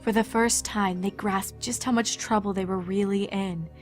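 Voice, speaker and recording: A woman reading scripted fan fiction as the narrator, in a measured storytelling manner. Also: medium pitch, steady tone. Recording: faint music under narration.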